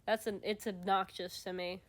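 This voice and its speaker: A woman's voice: medium pitch, little pitch movement, normal speed. Sniffly woman rambling on a livestream. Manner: rambling, many restarts and fillers, laughs often. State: sniffly, stuffed nose. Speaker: streamer host. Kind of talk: casual livestream chat while drawing.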